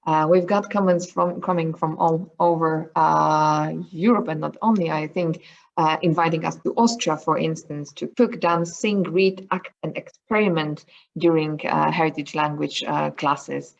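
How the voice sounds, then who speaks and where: Low gravelly woman moderating a call. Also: steady tone, a little slow, muffled recording. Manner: hesitant, many fillers. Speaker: conference moderator. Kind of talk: online conference Q&A. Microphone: video call.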